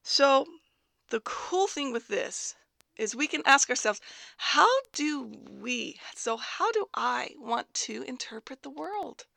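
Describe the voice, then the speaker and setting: Raspy-voiced upbeat woman life coach. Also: high-pitched, animated, slow pace, bright, forceful tone, no echo. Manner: upbeat, chatty, laughs often mid-sentence. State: slightly hoarse from a cold. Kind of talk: casual motivational monologue.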